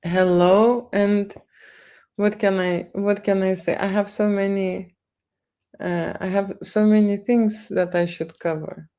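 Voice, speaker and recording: A woman's voice: mid-range voice, fairly even intonation, slow, dark tone. A woman with a Lithuanian accent talking casually on a livestream, repeating words and tagging lines with okay and right. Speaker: photo studio owner livestreaming. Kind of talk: casual livestream talk to viewers.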